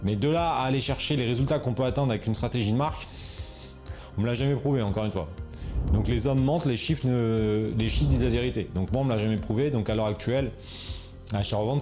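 A man's voice: medium pitch, mellow. A man coaching viewers on selling online, talking casually with slang, fillers and restarts. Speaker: e-commerce coach. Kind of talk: casual explainer video.

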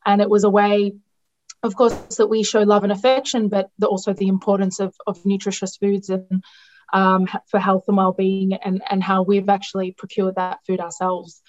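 A woman's voice: medium pitch, level tone, moderate pace, a little mellow. A woman who is a dietitian, her Greek, answering interview questions with many ums, restarts and hesitations. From Australia. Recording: dull lo-fi sound.

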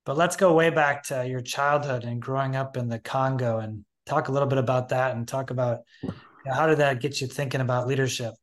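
A man's voice: mid-range voice, fairly even intonation, brisk. A man from Michigan hosting a podcast, asking long questions in a friendly, conversational way. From Michigan, USA. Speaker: podcast host. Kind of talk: podcast interview.

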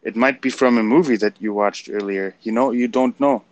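A man with a German accent talking in a casual motivational chat, passionate and getting loud when excited. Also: medium pitch, normal speed.

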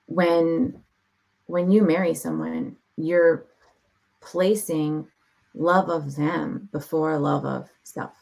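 A woman speaking as a podcast guest, sharing her testimony in a reflective, heartfelt way with measured pauses. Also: deep voice, fairly even intonation, gravelly, a little mellow, rough recording. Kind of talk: personal testimony in an interview.

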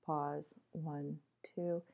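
A woman leading a guided meditation in a measured, instructional way with deliberate pauses, on slightly dull audio. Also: low voice, flat delivery, creaky.

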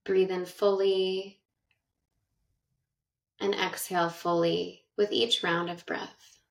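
A woman guiding a meditation with gentle, measured instructions and long pauses, speaking in narration style. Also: fairly low pitch, flat delivery. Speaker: meditation guide. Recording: roomy echo, distant from mic.

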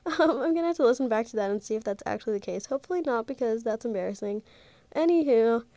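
A young woman in her twenties hosts a casual solo podcast while stuffed up, her voice a little nasal from congestion. She chats loosely with lots of "like" and "um," in a somewhat high, soft, mellow tone. The sound is dry and close, with no room echo, from a mic she holds in her hand. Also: animated, fast. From Ohio, USA. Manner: chatty, rambling, many fillers like "like" and "um". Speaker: podcast host. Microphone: handheld mic.

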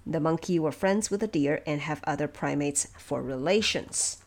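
A woman presenting explanatory production notes from a script in a measured, instructive way. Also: low voice, darkish tone. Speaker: audio drama producer and presenter.

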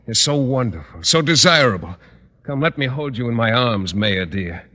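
An actor in an old-time radio comedy playing an overwrought, lovestruck bodyguard who gushes with ardent passion. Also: male voice, medium pitch, animated, moderate pace, sharp tone. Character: melodramatic, lovestruck suitor and bodyguard, gushing with passion. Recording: vintage radio comedy recording.

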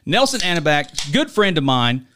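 A man's voice: fairly high pitch, big pitch swings, moderate pace, sharp tone, slight background noise. A man in his forties hosting a podcast, talking in an upbeat, chummy way and often tossing in "right?". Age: 40s. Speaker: podcast host. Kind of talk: casual chat and ad read. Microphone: studio podcast mic.

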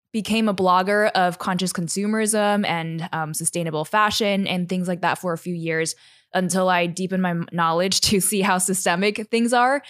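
A woman's voice: fairly low pitch, dry recording, clean studio sound. A young woman from Taiwan, a podcast guest telling her story in a thoughtful, articulate way. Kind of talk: interview conversation.

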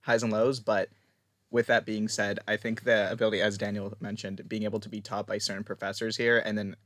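A man's voice: lowish voice, level tone, a little fast, fairly bright tone, no room sound, clean studio sound. A man from Canada, a graduate student guest, speaking casually in an interview.

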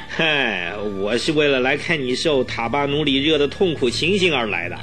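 A man's voice: mid-range voice, very animated, moderate pace, pushed and bright, soft background music. An actor playing a smug, cruel murderer in a radio drama, sneering and boastful as he mocks a victim. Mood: gloating, mocking. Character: gloating male murderer, cruel, smug, mocking villain. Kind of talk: Mandarin radio drama acting.

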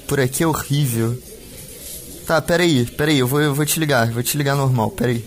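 A man's voice: medium pitch, moderate pace, music in the background, lightly acted. A man playing a worried young Brazilian film student, a devoted son, talking casually in a naturalistic audio drama. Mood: casual, concerned. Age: young adult. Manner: casual, colloquial, short phrases.

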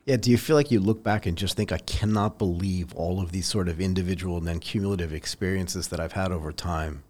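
A man's voice: low-pitched, fairly quick, a little mellow, a little hiss or hum. A man in his fifties interviewing a guest, asking curious questions and encouraging her answers. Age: 50s. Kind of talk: conversational interview.

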